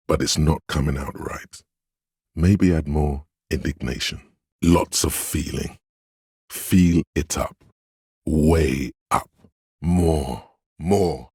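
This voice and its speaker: An actor playing a stern, commanding inner voice of authority in a video game, speaking in a grave, imperious, theatrical way. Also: male voice, rumbling low, husky, no echo, clean studio sound, full character voice. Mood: indignant. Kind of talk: dramatic scripted game narration.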